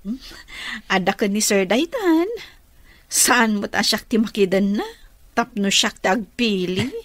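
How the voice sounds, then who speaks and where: A woman's voice: medium pitch, expressive, unhurried, no room sound, natural voice. A woman with an Ilocano accent playing an envious, gossipy office employee in a radio drama. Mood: envious, huffy.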